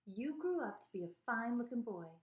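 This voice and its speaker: A woman's voice: a little high, very animated, fairly quick, lo-fi sound, voice pushed up. A woman voicing a feisty, doting old Southern grandmother in a story, folksy, bossy and affectionate, with a Southern US accent. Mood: fond, bossy. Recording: echoey room.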